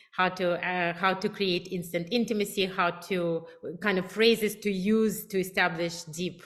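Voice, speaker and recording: A woman's voice: mid-range voice, a bit bright. A woman from Russia with a Russian accent, a relationship coach giving emphatic, enthusiastic advice straight to her viewers. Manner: emphatic, enthusiastic, self-promoting. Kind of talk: direct-to-camera advice talk.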